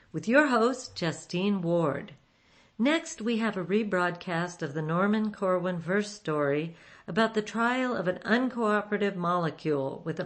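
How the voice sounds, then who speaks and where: Woman radio host, mid-pitched. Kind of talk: scripted introduction.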